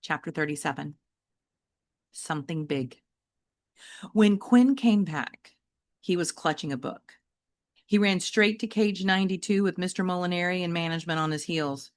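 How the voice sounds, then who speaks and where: A woman reading a children's novel aloud as an expressive, dramatic storyteller who varies her pacing. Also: lowish voice, moderate pace, pauses often. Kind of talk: expressive children's audiobook narration.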